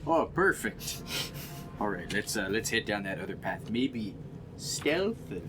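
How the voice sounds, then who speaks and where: A man's voice: fairly low pitch, big pitch swings, unhurried, over music. A man playing a tabletop role-playing game, chatting casually with a jokey, tentative way of putting ideas. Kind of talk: casual in-character game chat.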